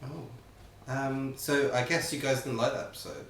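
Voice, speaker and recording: A man's voice: medium pitch, moderate pace, a little hiss or hum. A man in his twenties, chatting casually on a reaction video and laughing often. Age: 20s. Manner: animated, laughs a lot. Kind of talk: casual chat. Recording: roomy sound from a distant mic.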